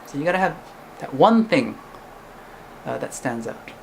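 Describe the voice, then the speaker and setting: A man's voice: fairly high pitch, big pitch swings, unhurried, gravelly, slight background noise, rough recording. A young man, a singer-songwriter whose first language is English, talking casually to camera and ending his points with "right?". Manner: chatty, ends points with "right?".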